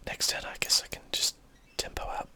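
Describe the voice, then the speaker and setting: A man with a southern English accent whispers casual, chatty ASMR game commentary in a breathy, unvoiced voice, musing aloud as he plays. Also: a little fast, bright, forceful tone. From southern England, UK. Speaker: host of an ASMR gaming channel.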